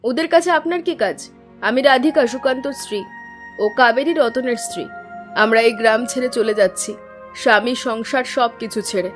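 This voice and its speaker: A woman acting as a young village wife in a cartoon folk tale. Her voice is high, and she is impatient and curt, complaining as she talks. Music plays behind her. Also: normal speed, natural voice. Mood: bitter, complaining. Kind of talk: Bengali animated folk-tale dialogue.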